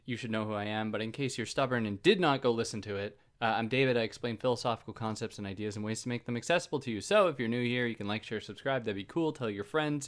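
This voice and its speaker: A man's voice: mid-range voice, a bit bright. Male podcast host, conversational lecture delivery, easygoing and self-deprecating, prone to tangents. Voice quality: soothing voice. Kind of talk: casual explanatory lecture.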